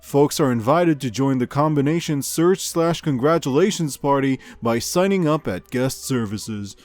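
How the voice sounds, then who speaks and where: A man's voice: mid-range voice, animated, moderate pace, a little mellow, faint music behind, close to an everyday voice. Man playing an upbeat, offhand comedy podcast host. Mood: chipper, offhand. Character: chipper, rambling male amusement park news podcast host. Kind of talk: scripted comedic news monologue.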